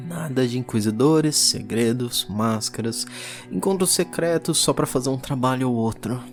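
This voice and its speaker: Mid-pitched voice, performer as curious and uneasy Victorian-era occult explorer, reflective journal narration, deliberate pauses, audio drama, music underneath. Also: close to an everyday voice. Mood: wondering, uneasy.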